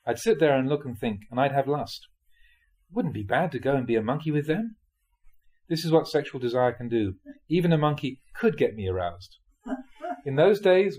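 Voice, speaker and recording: A man with a mid-pitched voice reads Buddhist teachings from a prepared text. His phrasing is measured and deliberate, laid out in short clauses. It has the sound of a monk narrating a spiritual audiobook. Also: a little mellow. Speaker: Buddhist monk reading translated teachings aloud. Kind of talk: scripted audiobook-style reading of dharma talks.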